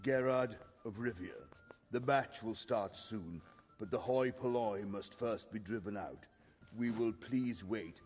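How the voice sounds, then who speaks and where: A man's voice: lowish voice, measured pace, a little mellow, close to an everyday voice. Pompous tournament official in an echoing hall, man acting. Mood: officious. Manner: pompous, formal. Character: officious, snooty male tournament registrar. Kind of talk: video game dialogue acting. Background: background music.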